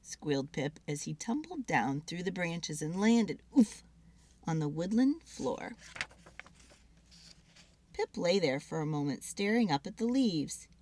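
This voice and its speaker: A woman giving an expressive, dramatic read-aloud of a children's story. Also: fairly low pitch, a little slow.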